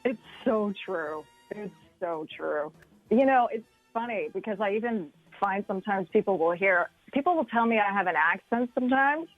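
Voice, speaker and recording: A woman's voice: mid-range voice, lively intonation, moderate pace, phone-quality sound, faint music behind. A woman who reports radio traffic, chatting casually as an interview guest.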